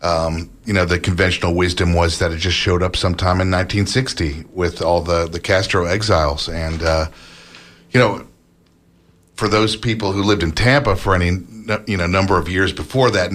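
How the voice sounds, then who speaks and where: A man's voice: sits low, a bit bright. A man answering interview questions as an author and researcher, conversational and somewhat hesitant as he thinks aloud. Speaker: author guest, food history researcher.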